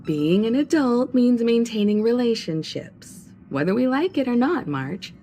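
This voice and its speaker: A woman voicing a poised, mature crew leader who speaks calmly and with gentle authority in a game cutscene. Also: fairly high pitch, measured pace. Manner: calm, composed, gently authoritative. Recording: game cutscene with faint music.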